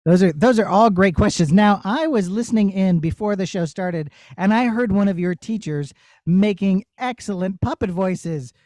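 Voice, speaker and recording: Male puppeteer, soft mellow tone, dry recording, encouraging and explanatory with children. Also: sits high, normal speed, no echo. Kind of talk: answering children's questions.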